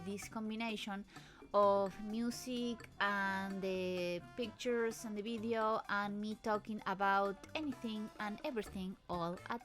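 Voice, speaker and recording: A woman's voice: medium pitch, a bit bright. Nervous woman with a foreign accent. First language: not English. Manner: hesitant and nervous, with restarts and small laughs. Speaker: channel host. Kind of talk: casual voice-over commentary on video clips. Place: apartment in a busy town area with traffic outside.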